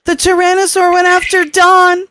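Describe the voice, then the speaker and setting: Narrator as higher-pitched, earnest, worried woman. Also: monotone, moderate pace, bright, forceful tone, a little hiss or hum. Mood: shaken. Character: cautious, worried female scientist, protective and earnest.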